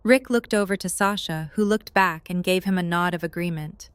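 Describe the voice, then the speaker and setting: A woman's voice: fairly low pitch, normal speed, clear, resonant tone, no room sound. A woman reading scripted story narration for an audio drama.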